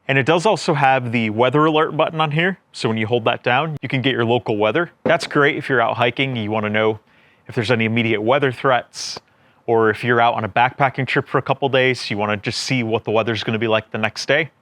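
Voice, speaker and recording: A man's voice: medium pitch, fast talker, a bit bright, dry recording. A man giving a casual, plain-spoken product review, explaining things step by step as a reviewer. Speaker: gear reviewer presenting a product.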